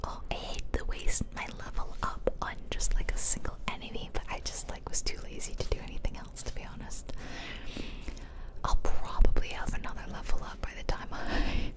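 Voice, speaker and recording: A woman's voice: moderate pace, low-quality recording. A woman whispering playful, rambling ASMR commentary over a video game, close to the microphone. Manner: playful, self-deprecating, rambling asides. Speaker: game streamer, ASMR creator. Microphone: close ear-to-ear ASMR microphone.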